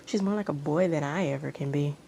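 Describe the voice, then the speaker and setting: A woman's voice: low voice, a little fast, gravelly, mellow, slight background noise. A woman voicing a mocking, haughty young female rival with a scornful, indignant manner in a story reading.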